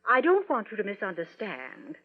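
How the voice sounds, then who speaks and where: Fretful genteel radio matron, husky. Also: female voice, mid-range voice, wildly swooping pitch, normal speed. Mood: worried. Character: anxious, proper middle-aged society mother. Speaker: radio drama actor. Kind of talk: 1940s radio acting. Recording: dull lo-fi vintage radio recording.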